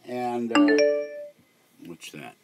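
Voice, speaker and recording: An older man with a hoarse voice, chatting on a live stream from a small room at home, meandering and halting with many fillers. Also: on the low side, lively intonation, over loud music, low-quality recording. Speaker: livestreamer. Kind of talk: rambling live stream chat.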